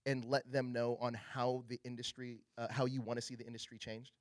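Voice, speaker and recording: A man's voice: medium pitch, little pitch movement, brisk, no room sound, clean studio sound. A man hosting a casual promotional interview in an effusive, supportive manner.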